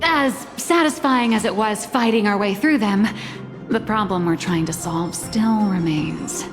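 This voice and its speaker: A woman's voice: medium pitch, a little slow, big-room sound, soft background music, close to an everyday voice. A female actor playing a cool, sardonic, commanding schemer in a video game, with a dry, teasing manner. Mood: dry, brisk.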